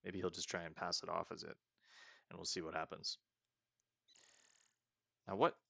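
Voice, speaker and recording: A man hosting a casual let's play, reading game text aloud and thinking out loud as he goes. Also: deep voice, little pitch movement, normal speed, vocal fry, no room sound. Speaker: gaming host.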